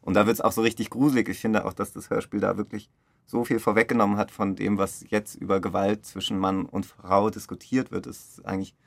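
A man's voice: fairly low pitch, little pitch movement, brisk, gravelly, no echo. A man speaking in an interview as a radio play director, explaining enthusiastically in loose, searching sentences. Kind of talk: interview conversation.

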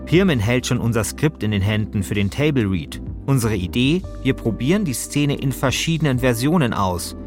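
A man's voice: medium pitch, animated, moderate pace, hardly any pauses. A man hosting with scripted documentary-style narration. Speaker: host, narrator. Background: music.

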